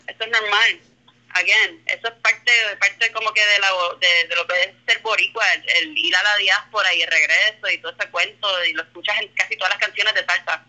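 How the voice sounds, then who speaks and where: Puerto Rican woman, 30s, comedian guest; very bright tone, loose rambling delivery with English slipping in. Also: medium pitch, fairly even intonation, brisk, rough recording. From Puerto Rico. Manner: rambling, self-correcting, drops in English words. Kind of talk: casual remote conversation.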